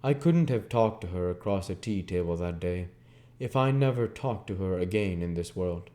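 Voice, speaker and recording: A man with a low, soft-edged and mellow voice reads literary fiction aloud. He narrates it in audiobook style, in a dark, relaxed tone. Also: normal speed. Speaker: reader of a video book series. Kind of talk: audiobook-style reading of a classic novel.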